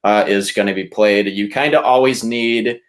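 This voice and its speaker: Lowish-voiced man, casual and halting. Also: fairly even intonation. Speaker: podcast contestant pitching movie reboots. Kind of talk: casual movie pitch.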